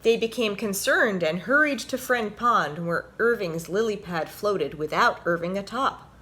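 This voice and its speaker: Woman doing storytime for kids, middle pitched voice, expressive reading. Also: measured pace, a little hiss or hum. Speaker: violinist presenting a children's story reading. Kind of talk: storybook read-aloud for children.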